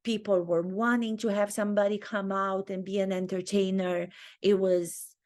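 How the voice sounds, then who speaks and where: A woman's voice: mid-range voice, little pitch movement, moderate pace, darkish tone. A woman with a Romanian accent, an acting teacher thinking aloud and commenting to camera in her own video. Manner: thinks aloud, earnest and reflective. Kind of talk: talking-head commentary video.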